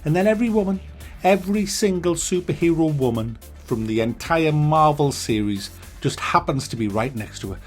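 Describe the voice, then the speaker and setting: A man with a fairly high voice tears into a film, animated and sarcastic, cursing as he goes. Faint music plays softly behind him. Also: normal speed. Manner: animated, profane, sarcastic ranting. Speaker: movie reviewer. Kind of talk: ranting movie review.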